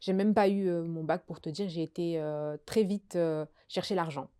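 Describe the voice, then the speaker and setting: A young woman from Belgium talks through her life story as a podcast guest. Her voice sits low and mellow, and she pauses often with fillers and restarts her sentences. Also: steady tone, smooth. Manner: hesitant, many fillers and restarts. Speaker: guest sharing her testimony. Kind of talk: casual conversational testimony.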